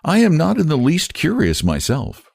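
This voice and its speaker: A proud, prim older woman, put on by a man reading a story, cool and defiant in her measured replies. Her voice is lifted and lively in pitch. Close, dry sound. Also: creaky, dry recording, full character voice.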